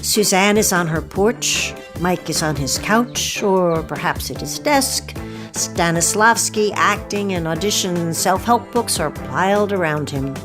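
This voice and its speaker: Unhurried woman announcer over music. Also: lowish voice, animated. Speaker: announcer and stage-direction narrator. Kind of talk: scripted narration.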